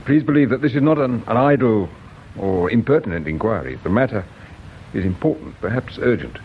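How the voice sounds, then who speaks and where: An actor with an English accent playing a polite, patient, shrewd police inspector in a British radio drama, measured and courteous. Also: male voice, fairly low pitch, animated, lightly acted. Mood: grave, insistent. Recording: dull radio drama recording with hiss.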